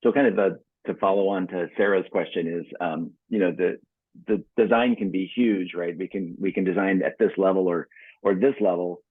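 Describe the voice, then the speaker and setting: A deep-voiced man with a husky tone asks questions informally on a conference call. His voice comes through a narrow, phone-like connection with very little room sound. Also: fairly even intonation, moderate pace, no echo. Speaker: prospective bidder asking questions.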